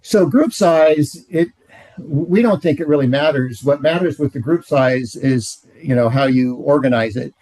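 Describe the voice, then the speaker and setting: A man leading a marriage ministry, explaining in a plain, earnest way with many pauses and "uh" fillers. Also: a little high, a little mellow, no echo, poor audio. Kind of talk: training session talk.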